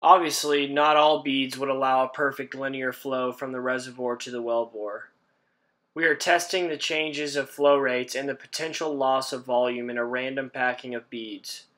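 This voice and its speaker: A young male engineering student from Texas presents his team's project. He reads steadily from a prepared script, with a plain, mid-pitched voice and a level delivery. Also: steady tone, normal speed, fairly bright tone. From Houston, Texas. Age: young adult.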